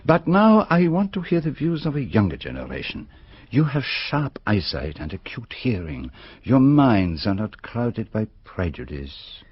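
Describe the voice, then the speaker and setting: Radio drama casting: older foreign sleuth, formal, measured, courteous, husky voice, dry close sound. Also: male voice, on the low side, wildly swooping pitch, no room sound, full character voice. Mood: inquisitive, calm. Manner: formal, precise, measured, polite questioning. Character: courteous, probing, elderly male foreign detective. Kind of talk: radio mystery drama acting. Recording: dull, lo-fi radio drama recording.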